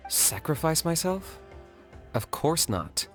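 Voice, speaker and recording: A man's voice: fairly high pitch, big pitch swings, slow, a bit bright, soft background music, exaggerated voice. A man voicing a stoic young warrior who answers in a dry, terse way. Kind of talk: game cutscene voice acting.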